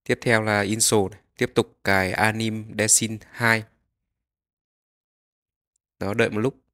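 A man narrating a step-by-step software tutorial, explaining each click as he goes. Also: lowish voice, flat delivery, a little slow.

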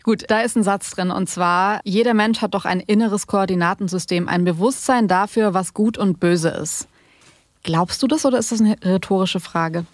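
A woman's voice: medium pitch, normal speed, no room sound, studio quality. A woman hosting a podcast, asking questions and commenting in a casual, conversational interview style.

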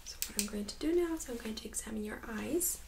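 A woman's voice: a little high, expressive, quick pace, vocal fry, background noise. Whispering young woman, ASMR medical exam. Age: young adult. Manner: soft-spoken, mostly whispering, gently instructing. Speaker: ASMR creator playing a medical examiner. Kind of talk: ASMR medical role-play. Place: small indoor room set up as exam room.

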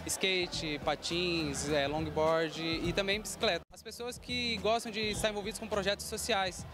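A ministry leader explains his project into a handheld mic with slight hesitations. His voice is high for a man, over clear background music. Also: steady tone, moderate pace. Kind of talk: interview statement. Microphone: handheld reporter mic.

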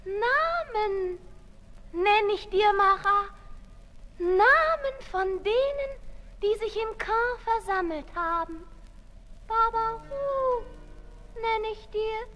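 A woman playing a fragile young female assassin in a 1960s German radio drama, halting and solemn like a sleepwalker. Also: very high-pitched, expressive, bright, forceful tone, full character voice. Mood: trancelike, grieving. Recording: 1960s radio recording with music.

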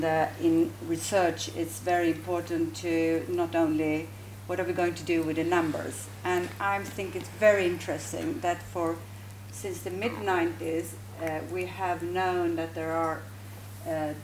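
A middle-aged woman with a Swedish accent, a native Swedish speaker, talking deliberately on a panel. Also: on the low side. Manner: deliberate, searching for words. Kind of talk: panel talk. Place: stage in a hall with audience. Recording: echoey hall with faint hum.

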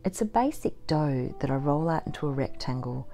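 A middle-aged woman giving a calm, measured voice-over about her homestead, with soft background music. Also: low-pitched. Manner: gentle, measured and matter-of-fact. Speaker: homesteading vlogger. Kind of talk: calm scripted voice-over narration. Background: faint instrumental music.

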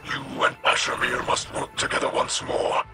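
A man voicing a gentle alien leader in a video game, with a breathy, growly, rasping voice and formal, deliberate speech. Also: loud music behind the voice, natural voice. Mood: explanatory. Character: gentle, guilt-ridden male alien leader and scholar. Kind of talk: video game drama dialogue.

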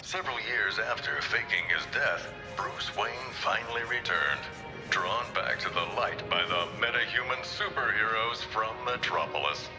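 Game role: male actor as a grave reporter narrating exhibits, low voice, long reverb, music behind. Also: expressive, normal speed, close to an everyday voice. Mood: grave, dramatic. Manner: solemn, portentous. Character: grave male journalist narrating a museum tour. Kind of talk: dramatic scripted exhibit narration.

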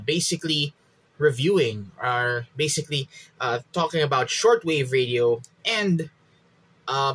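Man, thirties, Filipino accent, native Filipino speaker, medium pitch, casual explainer, halting delivery. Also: a bit bright. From Philippines. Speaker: podcast host and radio hobbyist reviewer. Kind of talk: casual explanatory vlog, code-switching. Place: small room at home.

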